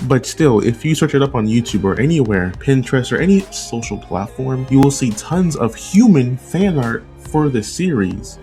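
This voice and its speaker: A man's voice: mid-range voice, animated, moderate pace, hardly any pauses, dark tone. A man giving casual opinion commentary as a video essay host, conversational and often rephrasing his points. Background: background music.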